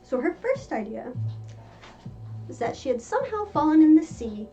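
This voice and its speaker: High-pitched, animated woman reading a story. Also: normal speed. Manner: theatrical, expressive. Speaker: storybook reader, cosplayer in character. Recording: muffled lo-fi with music behind. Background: faint music.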